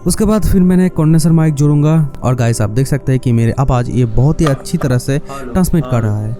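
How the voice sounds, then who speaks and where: A man's voice: mid-range voice, brisk, mellow, faint music behind. A man narrating a scripted electronics tutorial, explaining step by step, speaking directly to viewers and mixing in English phrases. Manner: explanatory, addresses viewers directly with rhetorical questions; mixes English words and phrases into speech. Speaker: host of a DIY electronics tutorial.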